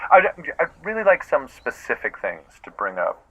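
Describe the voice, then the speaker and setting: An actor playing an eager, self-serious out-of-work actor in an audio comedy, earnest and theatrical, in a slightly dull recording. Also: male voice, mid-range voice, huge pitch swings, moderate pace, sharp tone, full character voice. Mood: coaxing, intense. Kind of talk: naturalistic comedic audio play acting.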